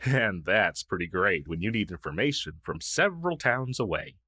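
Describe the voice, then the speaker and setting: A man's voice: low voice, sing-song, normal speed, bright, forceful tone, husky, no echo, clean studio sound, full character voice. A man playing a friendly, shy crow familiar in an intimate fantasy audio roleplay, speaking in a hesitant, earnest, conversational way. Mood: gentle, reassuring. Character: friendly, shy crow familiar, a masterless male magical helper. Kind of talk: intimate ASMR roleplay acting.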